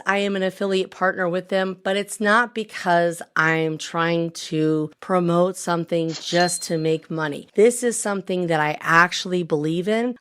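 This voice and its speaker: A woman in her thirties, a credit coach, explaining points one by one in an upbeat pitch while a bit hoarse from recovering her voice. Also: a bit bright. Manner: upbeat sales-pitch delivery, lists points by number. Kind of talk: direct-to-camera explainer.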